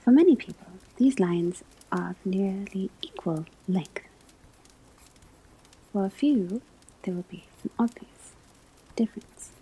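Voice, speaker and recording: A woman playing a gentle witch-school divination teacher in an ASMR roleplay, whispering breathily as she gives measured instruction. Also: mid-range voice, animated, husky, low-quality recording. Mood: calm, instructive. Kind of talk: ASMR classroom roleplay lecture.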